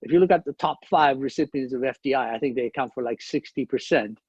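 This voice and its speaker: A man from Ethiopia speaks as a panelist on a video call, laying out his points in a measured way and often restarting his sentences. His voice is somewhat husky and sits at a middle pitch. The audio is dull and dry. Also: darkish tone, no room sound. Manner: measured, explanatory, with frequent restarts. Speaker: conference panelist. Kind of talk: panel discussion.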